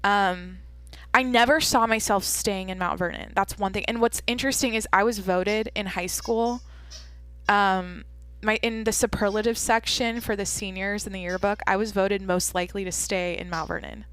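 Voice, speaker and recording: A woman's voice: medium pitch, fairly even intonation, moderate pace, fairly bright tone, smooth. A woman in her twenties from Washington State, hosting a casual solo Q&A podcast in a rambling, candid way with lots of fillers and swearing. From Washington State, USA. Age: 20s. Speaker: podcast host.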